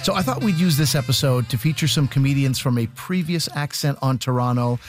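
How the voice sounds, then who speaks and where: A man's voice: mid-range voice, brisk. A man hosting a radio comedy show, introducing it in a scripted style. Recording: prominent music under voice. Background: loud music.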